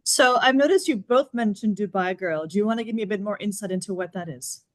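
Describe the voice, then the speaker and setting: Woman podcast host, brisk delivery, bright enthusiastic intros. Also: medium pitch. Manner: upbeat, enthusiastic welcomes. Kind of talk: podcast interview.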